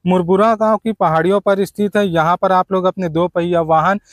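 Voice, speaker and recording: A man delivers a travel-guide voice-over. His voice sits high for a man. The sound is dry, with almost no room echo, and he addresses the viewers directly as friends. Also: steady tone, a little fast. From Chhattisgarh, India. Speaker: travel vlogger, narrator.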